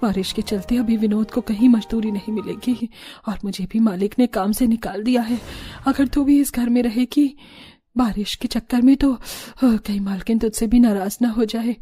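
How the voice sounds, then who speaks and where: A woman's voice: a little high, fairly even intonation, normal speed, warm tone, slightly rough. A woman playing poor, caring family women in a Hindi cartoon story, earnest and pleading. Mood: worried, caring. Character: poor, pleading, caring mother-in-law and daughter-in-law.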